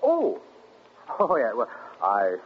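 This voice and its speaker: An actor playing a nervous, evasive husband in 1940s radio acting style. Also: male voice, medium pitch, huge pitch swings, slow pace. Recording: tinny 1940s radio broadcast with hiss.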